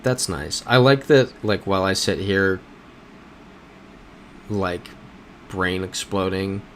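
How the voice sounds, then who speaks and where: A man's voice: low-pitched, takes it slowly, a little hiss or hum. An American man streaming a map-guessing game, reading viewers' messages aloud and talking through his guesses. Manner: reads chat messages aloud and reasons through map clues. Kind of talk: casual live-stream chat.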